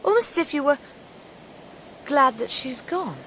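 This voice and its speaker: An earnest young woman in a radio drama, eager and emotional. Her voice is high, with lively pitch, over faint background noise. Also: unhurried, full character voice.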